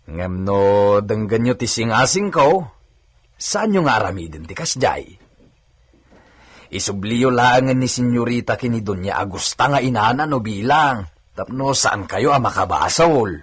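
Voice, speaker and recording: A man's voice: medium pitch, animated, unhurried, fairly bright tone, husky. A man acting an officious, taunting henchman in a radio drama, with a swaggering, questioning manner. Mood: advising. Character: officious henchman guarding his master's villa, taunting.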